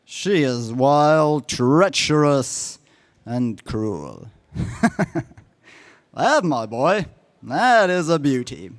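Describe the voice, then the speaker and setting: A man's voice: a little high, sing-song, extremely slow, long pauses, no echo, studio quality, heavily acted. An actor playing a boisterous, grandiose king of hawk people in a space serial radio play. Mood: jovial. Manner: grandiose, declamatory.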